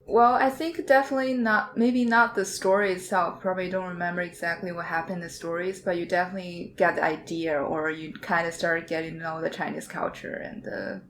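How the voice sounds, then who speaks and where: A woman's voice: on the low side, little pitch movement, fairly quick, hardly any pauses, a bit bright, a little hiss or hum. A woman podcaster in a casual panel discussion, giving her opinions bluntly and informally.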